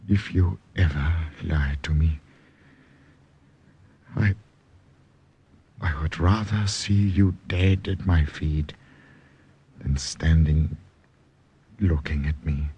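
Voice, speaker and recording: An actor playing a menacing, jealous Nazi intelligence officer with intense, husky delivery in old radio drama style. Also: male voice, very deep voice, heavily acted. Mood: quiet menace. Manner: intense, deliberate, swinging from hushed threat to outburst. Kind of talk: 1940s-50s radio drama acting.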